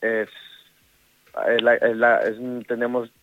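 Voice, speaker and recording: A middle-aged man from Ecuador with an Ecuadorian Spanish accent, a women's football coach speaking in a casual interview, halting and full of restarts. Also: medium pitch, completely flat delivery, slight background noise. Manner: halting, many restarts and fillers. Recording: tinny phone line.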